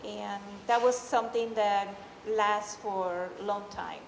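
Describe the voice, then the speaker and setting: Slow-speaking Peruvian woman in an echoey hall. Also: medium pitch, fairly even intonation, gravelly. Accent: Peruvian Spanish. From Peru. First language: Spanish. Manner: halting, informal delivery. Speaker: amateur storyteller. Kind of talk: live personal storytelling. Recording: echoey hall, faint background noise.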